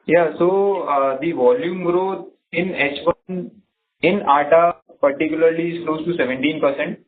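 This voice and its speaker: A man with an Indian accent answering as a company executive on an earnings call, halting and measured, pausing between short phrases. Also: on the high side, slight background noise. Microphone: conference phone call. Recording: narrow tinny phone line.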